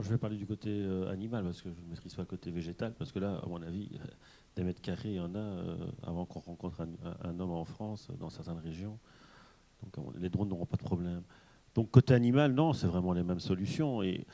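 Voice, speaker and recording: Low-voiced guy on a debate panel, super dark sound, trips over words.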